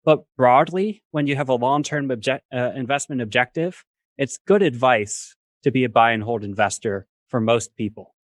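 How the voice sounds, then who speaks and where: A man speaking as a guest expert on a video call, explaining step by step in a measured, academic way with worked examples. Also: medium pitch, no room sound. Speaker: finance professor, guest expert. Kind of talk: explanatory talk on a livestream.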